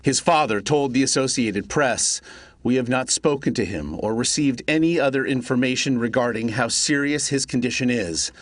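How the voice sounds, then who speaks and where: A man narrating a scripted television news report in a measured, solemn way. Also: mid-range voice, no echo.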